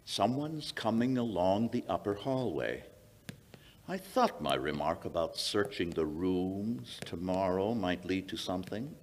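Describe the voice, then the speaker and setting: A man's voice: low-pitched, lively intonation, measured pace, a little mellow, slight background noise. An older man playing a commanding, self-assured detective in an old-time radio drama, authoritative and explanatory. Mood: alert, commanding. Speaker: amateur radio theatre actor. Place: small indoor museum stage. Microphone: standing vintage-style stage microphone.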